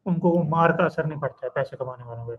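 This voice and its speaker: A man, talking as a guest in a conversational interview, animated and telling stories. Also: fairly high pitch, fast, highs cut off. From Pakistan. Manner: animated storyteller, acts out clients and students in quotes. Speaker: guest, freelance copywriting trainer.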